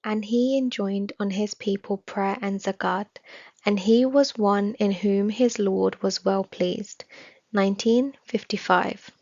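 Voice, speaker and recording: A woman reading a scripted religious study text aloud in a measured, formal manner. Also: medium pitch, darkish tone, no room sound. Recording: slightly dull, dry recording.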